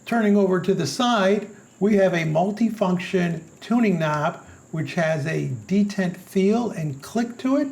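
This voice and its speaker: A man's voice: sits high, unhurried, creaky, hardly any pauses, a little mellow. A man reading a scripted product review as its narrator.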